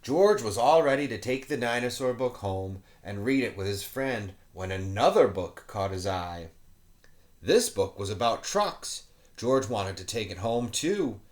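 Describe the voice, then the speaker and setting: Unhurried, lively man reading to children. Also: lowish voice. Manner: animated, playful asides to young listeners. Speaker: children's story reader, teacher. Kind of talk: expressive children's picture book read-aloud.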